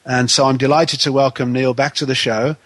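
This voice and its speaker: A man hosting a spiritual talk radio show, a little nasal from a head cold, with gentle hesitant phrasing. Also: medium pitch, flat delivery, fairly quick, dry recording.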